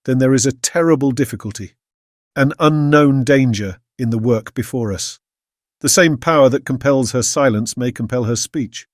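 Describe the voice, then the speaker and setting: Male actor as Victorian gentleman doctor. Medium pitch, formal, reflective diary narration, very dry studio recording. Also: no echo, natural voice. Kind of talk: scripted dramatic diary narration.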